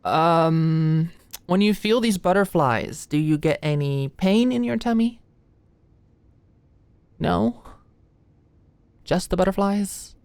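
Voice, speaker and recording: Low-voiced, nervous young female med student acted out by a woman. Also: darkish tone, clean tone, dry recording. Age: young adult. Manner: stammering, hesitant, muttering asides under breath. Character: anxious, flustered young female medical student, shy and apologetic. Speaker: voice actor in audio roleplay. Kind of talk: intimate ASMR roleplay.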